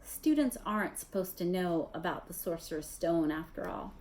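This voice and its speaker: A woman's voice: fairly low pitch, animated, normal speed, pitched below the performer's own voice, close to an everyday voice. A woman voicing a stern, menacing male schoolteacher with a cold, controlled delivery in a storybook reading. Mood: icy, secretive. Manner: cold, quiet, controlled, threatening. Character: icy, menacing, bitter man, stern schoolteacher. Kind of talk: storybook character voice.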